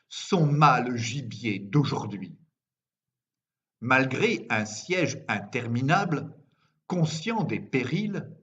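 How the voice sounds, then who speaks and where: Male poet, dramatic recitation, very measured slow pace, solemn declamation, deliberate pauses, medium pitch, slightly muffled recording. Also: a bit bright. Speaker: poet reading his own work. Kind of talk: dramatic poetry recitation in French.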